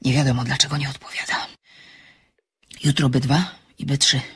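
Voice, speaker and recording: An actress playing a bold amateur sleuth in a radio drama, with a husky voice and brisk, self-assured delivery. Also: female voice, flat delivery, a bit bright, full character voice. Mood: composed. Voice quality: low, husky. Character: excitable, bold amateur sleuth woman, composed when bluffing.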